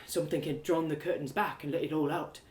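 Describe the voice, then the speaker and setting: A woman's voice: sits low, fairly even intonation, quick pace, a little mellow, natural voice. Woman acting a heartbroken young village lad, roomy echo. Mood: recollecting. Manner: colloquial rustic, confiding, hesitant. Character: heartbroken earnest young village man confiding in stranger. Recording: roomy echo of home live reading.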